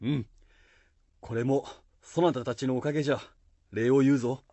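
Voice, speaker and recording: A man's voice: mid-range voice, steady tone, moderate pace, mellow, no room sound, natural voice. A man playing a gracious, commanding samurai leader with lordly archaic speech in a period radio drama.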